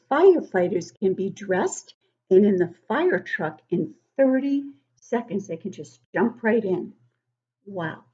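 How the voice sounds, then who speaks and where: Through slightly muffled, lo-fi sound, a woman reads a picture book to young children at an unhurried pace. She sounds like an encouraging teacher, giving the text clear emphasis and chatting directly to her little listeners with questions and asides. Her pitch sits in an ordinary range for a woman. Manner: expressive, emphatic when reading. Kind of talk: read-aloud storybook narration with questions to children. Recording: dull, lo-fi sound.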